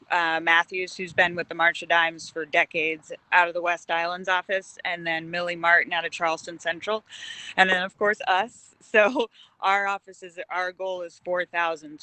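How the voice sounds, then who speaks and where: Bright, smooth-voiced woman giving an update. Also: fairly low pitch, dry recording, poor audio. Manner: friendly, hesitant, many ums. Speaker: fundraising organizer. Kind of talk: casual meeting update. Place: parked car.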